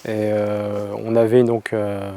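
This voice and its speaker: A man from France recounts his own story in a lowish, mellow voice. He talks in a flat, even tone and keeps stopping to restart his sentences. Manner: halting, with many hesitations and restarts. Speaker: convert telling his story. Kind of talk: personal testimony.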